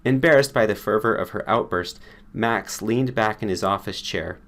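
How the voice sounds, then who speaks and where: A man's voice: fairly low pitch, normal speed, fairly bright tone. A man reading a scripted story as an audiobook narrator. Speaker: audiobook reader.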